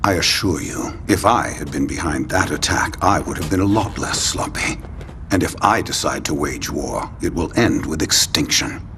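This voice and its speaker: An actor playing an imperious, menacing older leader of a mutant cause in a video game, with a formal, sardonic, theatrical delivery. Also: male voice, rumbling low, normal speed, slight background noise, exaggerated voice. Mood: cold threat. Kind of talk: video game cutscene acting. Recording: loud music bed behind.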